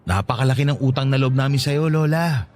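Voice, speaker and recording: A man's voice: mid-range voice, a little fast, a little mellow, lightly acted. A man playing a grateful, playfully teasing younger married man in a Filipino radio drama, respectful and affectionate.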